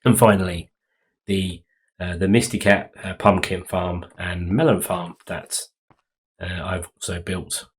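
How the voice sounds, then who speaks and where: A man a little croaky from being sick, rambling casually through commentary on his game build with many ums and restarts. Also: deep voice, steady tone, measured pace, slight background noise. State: slightly croaky from illness. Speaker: Minecraft let's play host.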